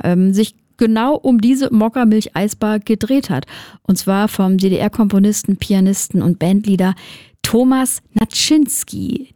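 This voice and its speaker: A woman's voice: mid-range voice, mellow, dry recording. Woman radio host, casual and chatty, playful asides, close dry sound. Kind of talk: conversational radio presenting.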